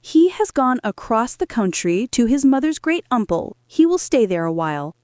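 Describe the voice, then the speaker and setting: A woman's voice: a little high, very animated, normal speed, a little mellow, no echo, clean studio sound. A woman doing a scheming stepmother.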